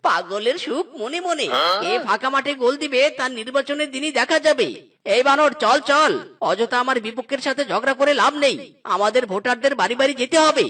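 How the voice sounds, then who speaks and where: A man's voice: very high-pitched, lively intonation, moderate pace, pushed and bright, distant mic, full character voice. A man voicing a cocky, bossy animal candidate who challenges the forest boss in a comic cartoon.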